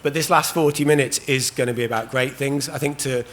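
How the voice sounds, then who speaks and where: A man with a hoarse, worn voice hosts a panel at a trade show conference. He talks loosely and warmly, full of ums and restarts. His pitch sits in a middle range, with faint room noise behind. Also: steady tone, fairly quick. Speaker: panel host. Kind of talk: hosting a live conference panel.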